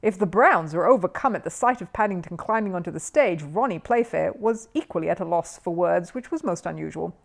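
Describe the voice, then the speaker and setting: A woman reading a children's story aloud as an expressive audiobook narrator. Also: fairly low pitch, brisk, gravelly, few pauses.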